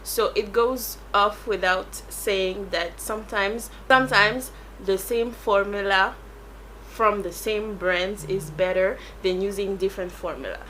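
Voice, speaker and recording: A woman's voice: mid-range voice, fairly even intonation, a little slow, a bit bright, a little hiss or hum, low-quality recording. A French-accented woman, a native French speaker, chatting casually through a makeup tutorial and rambling as she searches for English words. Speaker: beauty YouTuber.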